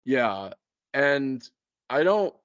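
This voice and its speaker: A man's voice: medium pitch, very animated, drawn-out words, a bit bright, no echo, highs cut off. A man in a casual chat, explaining his plans as a game master in a hesitant, conversational way. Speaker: game master planning a tabletop campaign.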